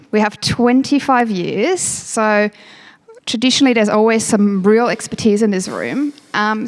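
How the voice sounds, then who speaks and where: A woman's voice: mid-range voice, no room sound. A woman in her thirties with a German accent hosts a workshop, speaking in a chatty, self-deprecating way with many ums. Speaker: event host. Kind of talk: welcome speech at a workshop. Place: event room.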